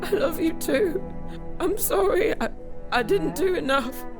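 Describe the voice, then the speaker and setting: A woman playing a distraught, impassioned daughter in an audio drama, urgent and pleading, with a creaky edge to her voice. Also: very high-pitched, animated, a little slow, music in the background, full character voice. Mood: grieving, pleading. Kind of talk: emotional audio drama acting.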